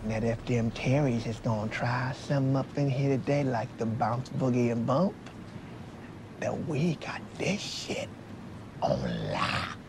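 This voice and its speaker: A cocksure male sidekick passenger who talks in made-up slang and sounds sure of himself, as a man plays him. His middle-pitched voice sits under a clear layer of noise from the sketch audio. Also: unhurried, natural voice. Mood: boastful. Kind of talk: sketch comedy acting.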